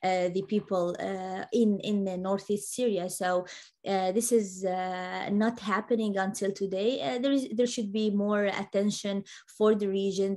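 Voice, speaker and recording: Halting woman analyst, native Arabic speaker, even pitch. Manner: halting, hesitant delivery. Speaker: panel speaker. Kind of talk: spoken policy analysis at a conference.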